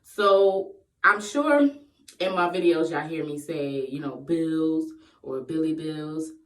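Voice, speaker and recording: A woman's voice: low voice, slow pace. Playful woman vlogger, echoey and distant. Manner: playful, laughs often, breaks into sung bits. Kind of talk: casual Q&A vlog. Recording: echoey room, voice far from the mic.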